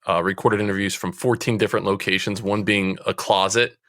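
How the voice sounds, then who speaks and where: Animated storyteller with a New Jersey accent. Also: male voice, lowish voice, a little fast, dry recording, studio quality. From Central New Jersey. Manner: chatty, animated storyteller, acts out his own past remarks. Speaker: podcast guest. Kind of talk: casual storytelling interview.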